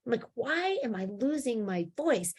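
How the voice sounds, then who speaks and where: A hoarse woman teaching about spiritual awakening in a casual talk, rambling with many restarts and slowing down to stress key words. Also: on the high side, sing-song.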